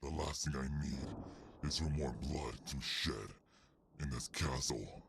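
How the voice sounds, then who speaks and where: A man playing an anguished, bitter, centuries-old cursed beast in a dramatic ASMR roleplay, with a gravelly, husky growl and a slow, brooding, halting delivery. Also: extremely low pitch, background noise, exaggerated voice. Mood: menacing, agitated.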